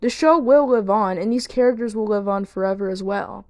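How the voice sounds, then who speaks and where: A woman's voice: mid-range voice, fairly quick, darkish tone, dry recording. Earnest woman, dry close sound. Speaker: video creator and host. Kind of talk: video essay commentary to viewers.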